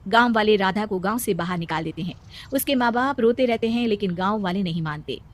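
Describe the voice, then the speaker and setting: A woman's voice: mid-range voice, brisk. A woman narrating a scripted story as the storyteller.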